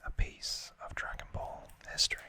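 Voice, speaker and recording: An ASMR host whispering softly and carefully through an unboxing, with gentle pauses.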